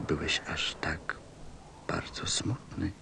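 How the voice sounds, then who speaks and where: An actor playing a gentle, reflective storyteller in a radio play, with a soft, thoughtful manner. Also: male voice, rumbling low, little pitch movement, slow, vocal fry, whispered, exaggerated voice. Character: gentle, reflective adult male narrator recalling his small friend. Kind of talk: radio play narration and dialogue. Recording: music under the voice.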